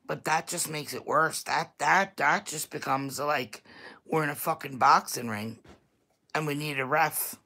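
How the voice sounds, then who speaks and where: A woman with a thick Staten Island New York accent and a deep voice, chatting bluntly and casually to viewers on a livestream, laughing often. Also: unhurried, vocal fry, pushed and bright. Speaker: YouTube vlogger.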